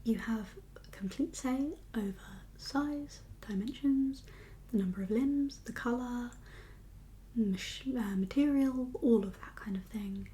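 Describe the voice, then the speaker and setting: A woman doing a whispered ASMR roleplay as a doctor, speaking in a breathy, gentle, reassuring and slightly hesitant consultation manner. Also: a little high, slow, slight background noise.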